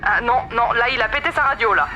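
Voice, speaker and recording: A man's voice: very high-pitched, quick pace, lo-fi sound, over music. A man playing a jittery, sarcastic submarine crewman who complains nervously in an audio drama. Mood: rattled.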